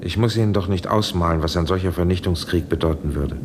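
An actor playing an agonized, self-justifying older scientist who speaks with urgent emphasis in a 1970s German radio play. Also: male voice, sits low, quick pace, background noise, natural voice. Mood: grave, explaining.

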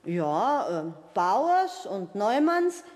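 A woman's voice: medium pitch, big pitch swings, very slow, a little mellow, in a large hall. A woman playing a polite, curious neighbour in a children's radio play. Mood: friendly.

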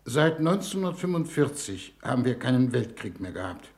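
A man playing a grave, authoritative foreign minister, speaking formally and with measured oratory in a 1950s radio drama. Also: mid-range voice, sing-song, a little mellow. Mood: grave, solemn.

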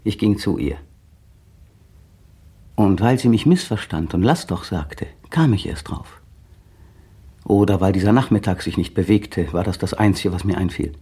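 A man's voice: deep voice, lively intonation, moderate pace, pauses often, warm tone, husky, heavily acted. An actor playing a brooding man in a radio drama, narrating his memories in an inner monologue that sometimes rises to fevered intensity. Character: brooding man recalling a still afternoon.

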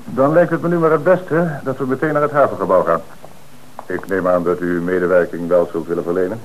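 An actor playing a police inspector who questions a suspect in a measured, dryly ironic way in a radio play. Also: male voice, sits low, lo-fi sound, slight background noise, lightly acted. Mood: brisk. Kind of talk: radio drama acting.

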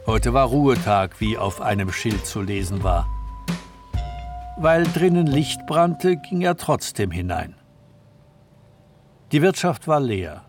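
A man's voice: medium pitch, big pitch swings, slow pace, pauses often, over music. A man narrating a crime story for a radio drama in a scripted, storytelling style.

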